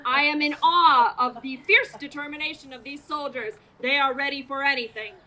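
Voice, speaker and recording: A woman's voice: sits high, normal speed, pushed and bright. A woman playing a dramatic radio reporter who narrates an alien invasion in grandiose, melodramatic style, performing outdoors. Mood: solemn awe. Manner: grandiose, florid, theatrical delivery. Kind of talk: melodramatic old-time radio play narration. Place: outdoor parking lot theater performance. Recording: noisy outdoor stage performance.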